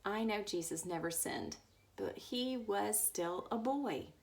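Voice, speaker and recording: A woman reading a book aloud as a storyteller, now and then stumbling over words. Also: mid-range voice. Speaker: story reader.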